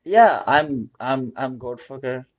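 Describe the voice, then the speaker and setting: A man joking goofily and deadpan on a video call. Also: mid-range voice, takes it slowly, no room sound, muffled recording. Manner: goofy, deadpan jokes. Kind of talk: casual group chat on a live stream.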